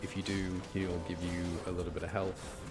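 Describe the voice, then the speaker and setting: A man's voice: deep voice, little pitch movement, moderate pace. A man giving casual, chatty live commentary as he streams a video game, talking to his viewers. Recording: music behind the voice.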